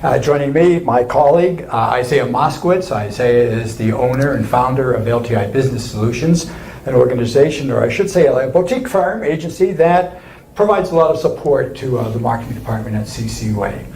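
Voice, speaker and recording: Webinar host, mid-pitched male voice, roomy echo, faint hiss. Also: a little fast, creaky, a little mellow. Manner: friendly, slightly rambling introductions. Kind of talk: hosting a webinar interview. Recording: echoey room with faint noise.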